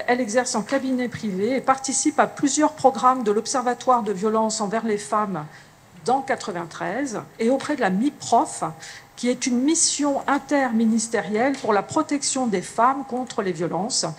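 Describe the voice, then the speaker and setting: A woman's voice: a little high, normal speed, a little hiss or hum. A woman moderator giving a formal introduction of a speaker, reading steadily with occasional hesitations.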